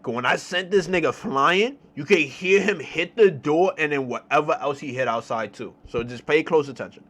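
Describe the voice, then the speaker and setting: A young man with a New York accent telling a story in a casual, slangy, animated way, repeating phrases for emphasis. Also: fairly high pitch, moderate pace. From New York City, United States. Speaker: storytelling streamer.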